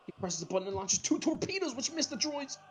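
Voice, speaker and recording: A man's voice: extremely high pitch, sing-song, quick pace, a little hiss or hum. A man reading screenplay directions aloud as the narrator of a group script reading.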